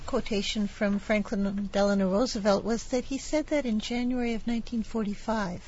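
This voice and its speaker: A woman's voice: medium pitch, fairly even intonation, normal speed, a little mellow, slightly rough. A woman speaking as a guest on a discussion panel, thoughtful and questioning. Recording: dull lo-fi broadcast recording.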